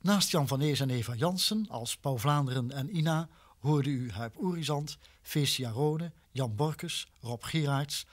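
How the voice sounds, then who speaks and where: A man's voice: a little high, measured pace. A man reading scripted closing credits as a radio drama announcer.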